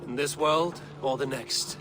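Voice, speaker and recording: Man acting steady, measured Norse warrior. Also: mid-range voice, close to an everyday voice. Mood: calm, resolute. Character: Eivor, steady, commanding Norse warrior raider. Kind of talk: video game dramatic dialogue.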